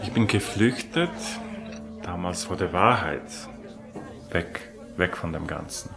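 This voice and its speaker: A man recalling his own life in a reflective, halting and deliberate way. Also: sits low, expressive, creaky, a crowd in the background. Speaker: interviewee telling own life story. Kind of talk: slow reflective personal recollection. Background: music.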